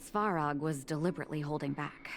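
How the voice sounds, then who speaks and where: A woman's voice: sits low, fast talker, slight background noise. An actress playing a composed, reassuring female doctor in a video game, speaking soothingly. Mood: calm, reassuring. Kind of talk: video game character acting.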